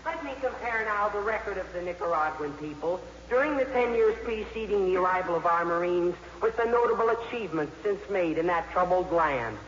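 High, sharp-voiced pompous schoolboy orator. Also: male voice, expressive, a little fast, hardly any pauses. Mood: pompous, declaiming. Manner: stiff oratory. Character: formal, pompous high-school boy debater. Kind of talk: 1950s radio acting. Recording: echoey, dull, noisy old radio broadcast.

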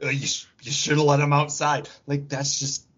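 A man co-hosting a podcast in casual, animated pop culture chat, swearing freely and acting out imagined quotes. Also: on the high side, moderate pace. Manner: profane, acts out imagined quotes mid-talk. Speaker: podcast co-host. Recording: dull, lo-fi audio.

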